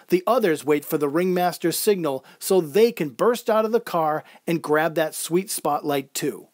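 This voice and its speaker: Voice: mid-range voice, a little mellow, dry recording, clean studio sound, natural voice. A performer playing a wistful, proud circus clown in a reflective first-person audio drama monologue. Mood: quietly proud. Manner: reflective, descriptive storytelling.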